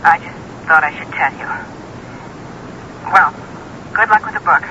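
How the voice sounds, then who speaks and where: An actress playing a businesslike talent manager in an old-time radio drama, speaking deliberately and insistently. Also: female voice, lowish voice, bright, forceful tone. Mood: urgent, grave. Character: businesslike female talent manager bearing news. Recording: thin, dull old radio recording, faint noise.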